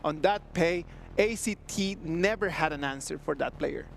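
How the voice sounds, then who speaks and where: Male esports commentator: gravelly and brisk, faint background noise. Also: a little high.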